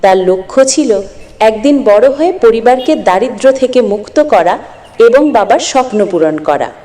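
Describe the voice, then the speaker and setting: A woman's voice: on the high side, animated, normal speed, warm tone. A woman narrating a scripted moral story as the storyteller. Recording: long echoing reverb.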